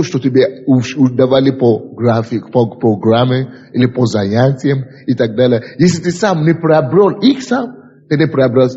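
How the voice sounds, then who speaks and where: A male pastor from Africa speaks, his voice slightly breathy and rough. He lectures in an exhorting way, repeating key phrases and asking his class questions. The recording sounds dull and lo-fi, with a long reverb trailing off through a large hall. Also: medium pitch, a little mellow. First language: an African language. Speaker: pastor teaching a ministry school.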